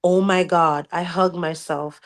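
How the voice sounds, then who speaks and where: A woman's voice: on the low side, steady tone, a little slow. A woman co-hosting a book club podcast, casually reading aloud with expression and swearing, sometimes interjecting in Spanish. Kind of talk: casual read-aloud with reactions.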